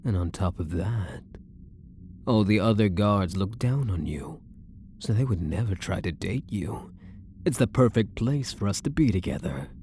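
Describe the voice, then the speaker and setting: A man's voice: on the low side, a little mellow, slight background noise. A man playing a possessive, lovestruck elf prisoner in an intimate roleplay, teasing and theatrical, with doting endearments. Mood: possessive, menacing. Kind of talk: intimate ASMR roleplay acting.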